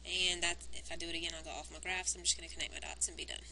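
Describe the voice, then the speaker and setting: A woman teacher recording a math lesson on a wired mic, explaining each step and counting points aloud, her voice worn and going hoarse. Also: on the low side, little pitch movement, racing, crowd noise, poor audio. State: losing her voice. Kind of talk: recorded math lesson, working through graphs. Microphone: wired microphone on a cord.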